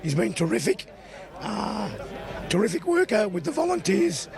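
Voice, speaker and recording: A hoarse man with a gravelly voice that jumps up and down in pitch. As a club president, he speaks in short, exuberant bursts. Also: big pitch swings, normal speed, crowd noise. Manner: exuberant, clipped phrases.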